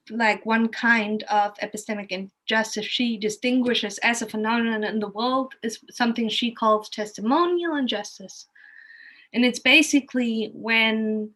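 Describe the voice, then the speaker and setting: A woman's voice: fairly high pitch, a bit bright. A woman from Germany with a German accent, telling her story casually, thinking aloud and often rephrasing as she goes.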